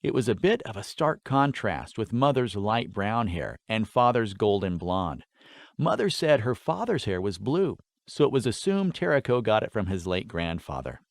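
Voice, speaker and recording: A man narrating a fantasy story in an expressive, scripted audiobook style. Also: fairly low pitch, normal speed, creaky, dry recording, clean studio sound.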